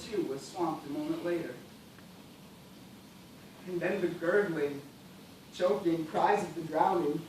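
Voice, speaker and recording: Echoey, distant, anguished shipwrecked gentleman played by a man. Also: on the high side, lightly acted. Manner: declamatory, melodramatic. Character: anguished, bitter gentleman, shipwreck survivor adrift on a raft. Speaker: stage actor. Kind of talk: stage play dramatic monologue. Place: theatre stage, recorded from afar. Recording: very echoey stage, distant mic, loud noise.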